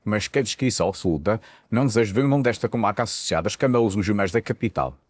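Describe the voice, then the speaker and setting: A man's voice: on the low side, racing, dry recording, natural voice. A man playing a formal, cautious Victorian magistrate with a stern official manner in an audio story.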